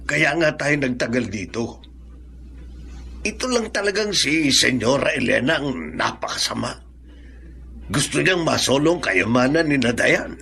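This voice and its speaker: An actor playing a loyal, timid household servant in a radio drama, earnest and deferential, with a Filipino accent. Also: male voice, mid-range voice, big pitch swings, measured pace, creaky, bright, forceful tone, slight background noise, full character voice. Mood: earnest, worried.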